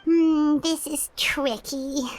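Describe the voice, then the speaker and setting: Squeaky, very high-pitched fairy girl. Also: fairly even intonation, very slow, darkish tone, a little hiss or hum. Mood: pondering. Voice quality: squeaky, childlike. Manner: speaks of self in third person. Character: small childlike flying fairy girl companion, eager, chirpy. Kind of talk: anime-style video game voice acting.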